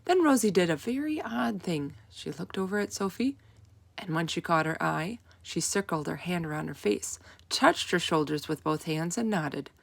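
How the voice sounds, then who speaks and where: A woman reading a children's storybook aloud as narrator, with expressive, deliberate emphasis. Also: on the low side, vocal fry.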